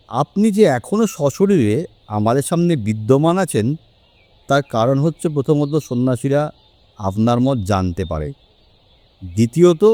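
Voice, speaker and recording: A man playing a confident, sharp-minded male detective in an audio story, with deliberate, emphatic phrasing. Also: mid-range voice, dry recording, lightly acted. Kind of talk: Bengali audio story acting.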